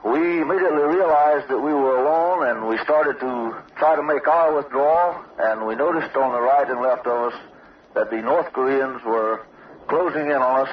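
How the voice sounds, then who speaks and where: A man's voice: medium pitch, slight background noise. Formal soldier, male, on distant muffled recording. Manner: formal, matter-of-fact military phrasing. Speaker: army sergeant, interviewee. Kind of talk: answering a news interview. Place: outdoor observation post. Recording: dull, distant old radio field recording.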